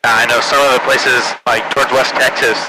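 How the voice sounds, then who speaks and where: A man's voice: medium pitch, flat delivery, brisk. A man riding as a passenger in a small plane, chatting casually over a headset intercom. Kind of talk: casual chat. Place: small propeller plane cockpit in flight. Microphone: aviation headset through intercom. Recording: engine noise, aviation headset intercom.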